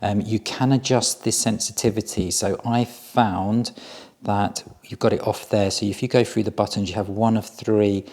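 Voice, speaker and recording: A man with a fairly low voice talks through a product demonstration. His delivery is casual and plain-spoken, explaining things step by step as a reviewer would. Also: steady tone, normal speed, a little mellow.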